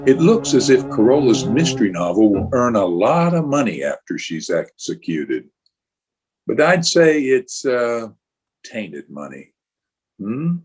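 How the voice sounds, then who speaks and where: A man playing a wry, theatrical announcer hosting an old-time radio mystery, with a husky voice. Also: medium pitch, big pitch swings, measured pace, a little mellow, soft background music. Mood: gleeful, wry. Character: wry, ghoulish male radio mystery host. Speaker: announcer and host.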